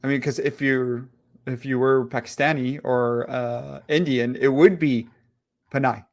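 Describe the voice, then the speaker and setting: A man co-hosting a live political chat show, tossing in quick jokes and interjections. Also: mid-range voice, steady tone, a little mellow. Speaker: podcast co-host.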